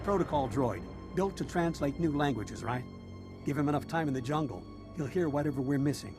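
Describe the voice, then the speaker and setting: Higher-pitched, battle-worn prison officer. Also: male voice, lively intonation, brisk, over music. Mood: businesslike. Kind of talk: video game character acting.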